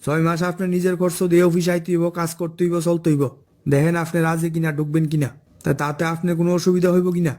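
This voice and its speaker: A man's voice: on the high side, level tone, quick pace, a little mellow, natural voice. A man voicing a firm, fair-minded forest officer in a cartoon, authoritative and matter-of-fact, with an eastern Bengali dialect. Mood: polite, official. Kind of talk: cartoon dialogue.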